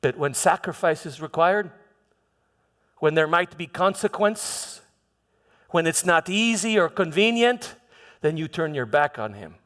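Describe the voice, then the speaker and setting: Slow, high-pitched, proud Roman governor acted by a man. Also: lively intonation, a bit bright. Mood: bitter, incredulous. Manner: impassioned, halting, dramatic, with stammered repeats. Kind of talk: theatrical church monologue. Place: large church hall. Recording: reverberant hall with music.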